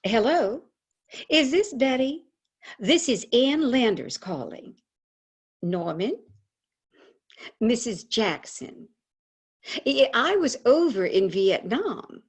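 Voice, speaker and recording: Actress doing older lady advice columnist, husky n unhurried, tender but frank, stage monologue. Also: on the high side, sing-song, heavily acted. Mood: tender, earnest. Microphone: video call. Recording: video call audio.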